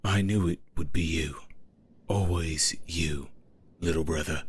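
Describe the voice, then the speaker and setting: A man playing a bitter, ruthless elder brother who leads fallen hunters in a gothic audio drama, husky, cynical and defiant. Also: low voice, steady tone, a little slow, heavily acted. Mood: cold, cynical.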